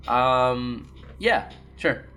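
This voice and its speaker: A man's voice: medium pitch, animated, extremely slow, pushed and bright. A man playing a tabletop game, chatting casually and thinking aloud as he improvises. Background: music.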